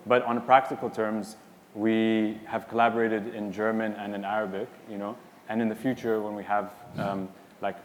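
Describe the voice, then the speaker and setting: A man on a symposium panel giving a loose, rambling answer full of "you know" and "like". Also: on the low side. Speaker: panelist and publisher. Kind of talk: casual spoken answer on a panel. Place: hall at a symposium. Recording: long reverb in a hall, faint noise.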